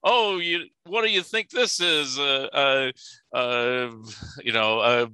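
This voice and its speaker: A man's voice: mid-range voice, takes it slowly, bright, forceful tone, smooth, no echo. A man hosting a casual interview, easygoing and affirming, asking long questions with hesitant fillers. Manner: easygoing, lots of affirming mm-hmms and chuckles. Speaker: interviewer, host.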